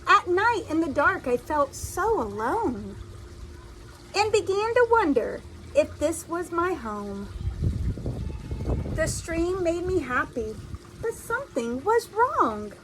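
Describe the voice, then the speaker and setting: A woman reading a children's story aloud in an expressive, deliberate storytelling style, like a teacher addressing young listeners. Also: very high-pitched, slight background noise, poor audio.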